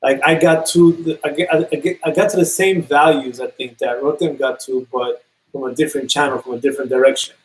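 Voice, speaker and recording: A man's voice: medium pitch, steady tone, a little fast, poor audio. A man from New York co-hosting a casual talk show, often repeating and restarting phrases. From New York City. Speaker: podcast co-host. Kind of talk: casual conversation.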